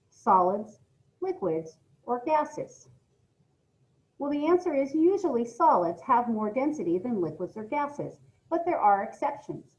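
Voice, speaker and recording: Lively woman teacher reading aloud. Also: a little high. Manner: instructional, emphatic, posing questions to listeners. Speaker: schoolteacher reading a lesson aloud. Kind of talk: read-aloud science lesson with asides to children. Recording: dull, lo-fi audio.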